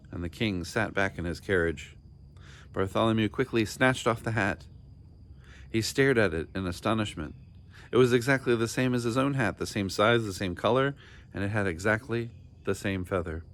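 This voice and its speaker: A man narrating a children's storybook in an expressive, deliberate and dramatic storytelling style. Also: on the low side. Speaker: storybook reader.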